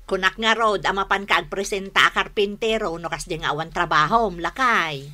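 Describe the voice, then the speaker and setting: A woman's voice: mid-range voice, fairly quick, sharp tone, lightly acted. A woman acting a gossipy village neighbour in a radio drama, teasing and exclaiming, with an Ilocano accent. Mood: urging.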